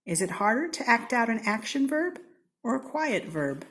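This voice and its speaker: An American woman from Seattle reading a children's storybook aloud in an expressive, theatrical way, with deliberate, playful emphasis. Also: fairly high pitch, slight background noise. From Seattle, United States. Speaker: storybook reader.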